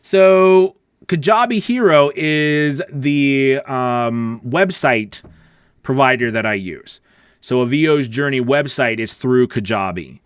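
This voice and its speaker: A middle-aged man hosts a casual question-and-answer stream in a conversational, direct way. His voice sits at an average male pitch. It is captured close on a broadcast mic in a small home studio, with very little room sound. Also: slow pace, clean tone, dry recording. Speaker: host, voice-over coach. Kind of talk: casual livestream Q&A chat. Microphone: close broadcast mic on boom arm.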